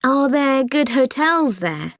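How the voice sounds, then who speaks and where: A woman's voice: high-pitched, very animated, slow, no room sound, clean studio sound, heavily acted. A woman acting a chatty, inquisitive, friendly mum asking for advice in a scripted exam dialogue. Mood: interested, agreeable.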